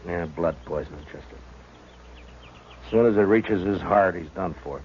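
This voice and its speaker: A gruff, world-weary lawman played by a male actor with a very low voice and few words to spare. The delivery is classic 1950s radio western drama. The old recording sounds dull and narrow. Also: normal speed, darkish tone, a little hiss or hum, natural voice. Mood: grim. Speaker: lead actor and first-person narrator.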